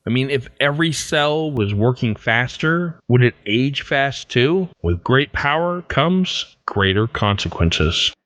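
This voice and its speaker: A man's voice: medium pitch, animated, slow, few pauses, a bit bright, dry recording. A man hosting a podcast, talking casually through a prepared outro. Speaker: podcast host.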